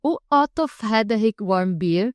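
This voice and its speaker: A woman talks as a podcast co-host, relaxed and chatty, drifting into asides as she sets up a story. Her voice sits at an ordinary pitch. The recording is dry and close. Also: slow, no room sound. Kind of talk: casual storytelling chat.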